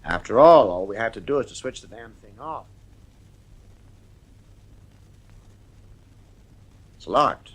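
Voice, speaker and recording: A man's voice: medium pitch, animated, a little fast, lightly acted, poor audio. A middle-aged man acting in an audio drama as an exasperated husband and father, moving between muttering and emphatic insistence. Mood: coaxing, weary.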